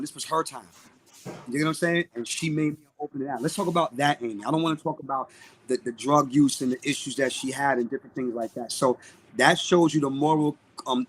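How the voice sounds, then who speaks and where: A man's voice: a little high, fairly bright tone, a little hiss or hum. A man with a Brooklyn accent speaking as a guest in a podcast interview, outspoken and emphatic, repeating phrases for stress. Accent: Brooklyn, New York. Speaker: guest, singer and music producer. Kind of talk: live podcast interview talk.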